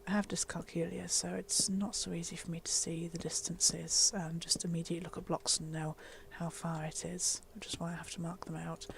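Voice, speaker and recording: A woman talking through a game build in a soft, half-whispered voice, wheezy from a chest infection. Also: fairly low pitch, flat delivery, brisk, gravelly, hardly any pauses, a little hiss or hum. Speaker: Minecraft building tutor. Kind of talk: casual game tutorial voice-over.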